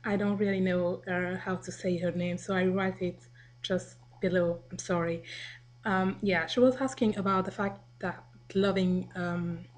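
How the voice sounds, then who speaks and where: A woman's voice: fairly low pitch, fairly even intonation, unhurried. A young woman from Africa, chatting casually to a home webcam, rambling and laughing as she acts out quotes. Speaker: vlogger. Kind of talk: casual video response to the camera.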